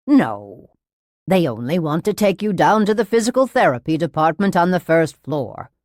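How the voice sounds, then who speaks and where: A woman's voice: fairly low pitch, sing-song, normal speed, darkish tone, no room sound, clean studio sound. A woman reading an audiobook as a severe nurse who talks in a syrupy, falsely soothing, patronising and overly reasonable manner. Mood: cool, reasonable. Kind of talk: dramatised audiobook narration.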